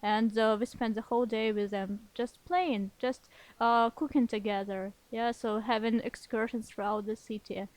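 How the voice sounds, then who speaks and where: A woman guest speaking as a learned language, talking in a hesitant way with many pauses and restarts. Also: a little high, fairly even intonation, darkish tone, dry recording, rough recording. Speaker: podcast guest. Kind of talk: casual interview talk.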